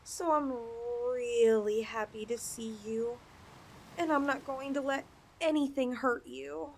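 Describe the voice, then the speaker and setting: Woman voicing a high, lilting, possessive lamia girl. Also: gravelly, exaggerated voice. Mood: coaxing, protective. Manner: coaxing, playful, dramatic swings in delivery. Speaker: audio roleplay performer. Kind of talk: ASMR roleplay, acted monologue addressed to listener.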